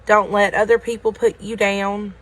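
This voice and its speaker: A woman's voice: mid-range voice, flat delivery, moderate pace, a bit bright, breathy edge, low-quality recording. A woman reading a children's story aloud. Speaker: story reader at a school.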